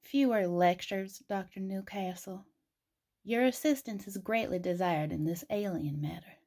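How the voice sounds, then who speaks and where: A woman playing a sharp-tongued, authoritative figure in a fantasy audio drama, speaking formally and curtly. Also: mid-range voice, a little slow. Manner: curt, formal, cutting.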